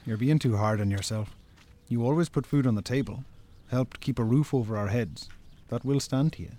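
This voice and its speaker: An actor playing a practical, supportive Irish farmer's brother in a naturalistic radio drama. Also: male voice, medium pitch, moderate pace, dark tone, close to an everyday voice. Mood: calm, reassuring.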